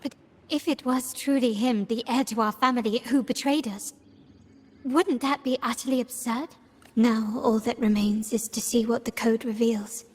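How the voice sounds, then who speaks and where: A woman playing a composed, analytical young heiress in a video game, speaking in a measured, formal way. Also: on the high side, steady tone, darkish tone, husky, in a large hall. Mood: grave, suspicious. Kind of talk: scripted game dialogue.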